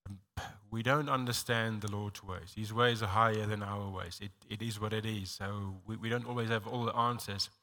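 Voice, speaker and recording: A South African man leading a church service, talking with halting, stammering restarts. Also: on the low side, steady tone, sharp tone. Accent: South African English. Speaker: pastor. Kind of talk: sermon-like church talk.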